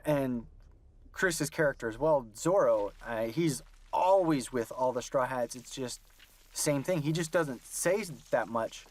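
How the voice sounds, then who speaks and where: A man's voice: mid-range voice, lively intonation, a little slow. Thoughtful rambling male host. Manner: thoughtful, rambling, many fillers. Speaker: commentary host. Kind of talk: commentary chat.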